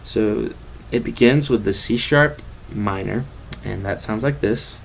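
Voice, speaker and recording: Man explaining guitar chords quickly. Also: gravelly. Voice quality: bass voice. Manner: quick, relaxed explaining with fillers. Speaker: guitar teacher. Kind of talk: guitar lesson. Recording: guitar playing behind. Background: acoustic guitar.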